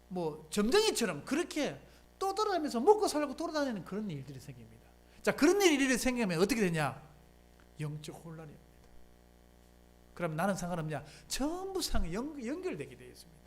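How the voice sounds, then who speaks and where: Pastor, lively up-and-down sermon delivery, punchy rhetorical questions, church setting. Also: mid-range voice. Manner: emphatic rhetorical questions, conversational preaching. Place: church sanctuary.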